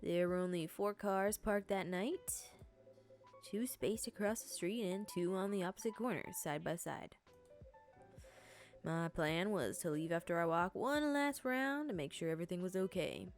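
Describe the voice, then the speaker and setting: A woman's voice: on the low side, measured pace, faint music behind. A woman voicing an older male police officer in a game, recounting his night patrol in a self-satisfied way. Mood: storytelling.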